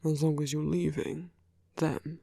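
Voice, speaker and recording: A man playing a soft-hearted villain wooing his heroic enemy in an intimate ASMR roleplay, speaking slowly and deliberately in a breathy hush that often slips into whispers. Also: fairly high pitch, little pitch movement, dry recording. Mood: tender, hesitant. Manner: slow, deliberate, hushed, often slipping into whispers. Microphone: close, dry microphone.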